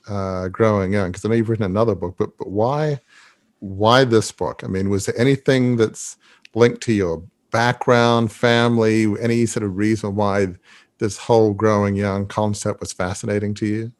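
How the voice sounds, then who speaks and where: This man is a podcast host who interviews a guest with long, meandering questions. He has a somewhat low voice and a friendly way of talking, punctuated by short chuckles. His questions are full of restarts and small asides.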